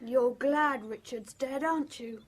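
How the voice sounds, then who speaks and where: A woman playing an excitable, childlike young man with a petulant, menacing streak in a radio drama. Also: high voice, measured pace. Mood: needy, sly.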